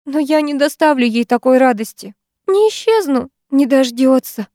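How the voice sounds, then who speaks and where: A woman's voice: high voice, animated, moderate pace, warm tone, dry recording, clean studio sound, voice pushed up. A woman reading an audiobook, voicing a desperate teenage schoolgirl who pleads through tears with a breaking voice. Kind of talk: audiobook character voice.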